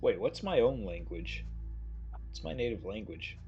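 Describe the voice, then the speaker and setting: A man playing in a tabletop horror game, asking quick questions in casual chat. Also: fairly low pitch, animated, a little mellow. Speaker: player. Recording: dull lo-fi mic with background music.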